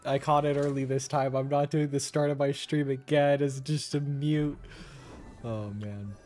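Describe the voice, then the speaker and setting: Slow-paced man streaming a game. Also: medium pitch, fairly even intonation, a little mellow, faint music behind. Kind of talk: casual live gaming stream commentary.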